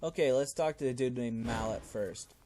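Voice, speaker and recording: A man's voice: medium pitch, normal speed, mellow. A man reading a game's text aloud as narration, with casual commentary of his own.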